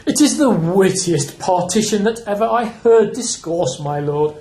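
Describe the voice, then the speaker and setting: Witty, deferential courtier male actor, high but mellow voice, roomy radio-play sound. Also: expressive, unhurried. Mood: dry mockery. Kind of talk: amateur Shakespeare radio play. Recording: echoey room recording.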